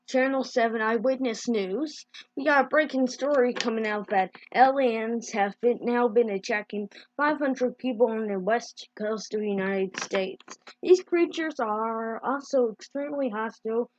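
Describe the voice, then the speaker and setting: A woman improvising mock emergency news bulletins as an amateur announcer, with halting delivery and mid-word corrections. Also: fairly high pitch, dry recording, highs cut off.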